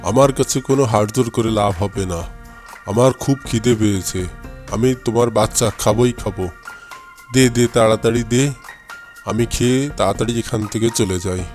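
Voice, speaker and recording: A man's voice: on the low side, moderate pace, darkish tone, soft background music, lightly acted. A man voicing a wicked, greedy fox in a cartoon fable, gloating and bullying as he talks. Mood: greedy, demanding.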